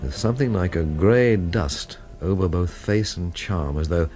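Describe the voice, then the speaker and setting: A man's voice: low-pitched, animated, measured pace, a little mellow, faint music behind. An actor playing a tough, wry London man who narrates and investigates in a radio mystery drama, with a sardonic, blunt way of talking. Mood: brooding, measured.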